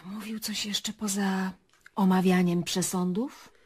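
Woman acting a tabloid reporter, radio play, husky, probing, coaxing, persistent. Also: medium pitch, steady tone, slow pace. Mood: skeptical, curious. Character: nosy, persistent female tabloid journalist.

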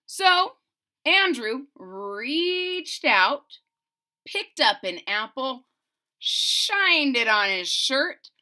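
A woman's voice: sits high, very animated, drawn-out words, pushed and bright. A woman of about thirty to forty reads a children's story aloud expressively, with chatty asides to young listeners, at home. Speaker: storybook reader. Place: small furnished room at home, indoors.

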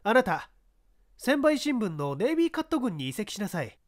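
A woman's voice: mid-range voice, sing-song, normal speed, natural voice. A woman voicing a pushy, calculating female baseball scout, insistent and needling, in a story reading. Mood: pressing, scornful. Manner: insistent, needling, feminine old-fashioned phrasing.

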